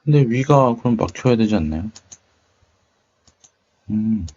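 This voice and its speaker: A man with a lowish voice talks through a screen demo casually. He muses and wonders aloud, often murmuring to himself. The recording sounds somewhat muffled and lo-fi. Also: animated, measured pace, mellow. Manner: musing, tentative, murmuring to himself. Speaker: host of a tech video channel. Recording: dull, lo-fi microphone sound.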